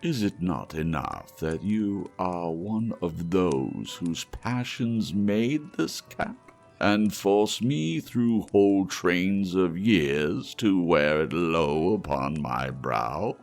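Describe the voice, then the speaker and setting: A man voicing a calm, commanding ghost of the past, speaking slowly and sternly, raising his voice above his narration. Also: low-pitched, pitched above the performer's own voice, exaggerated voice. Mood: solemn. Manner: slow, stern, ringing delivery. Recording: faint music behind.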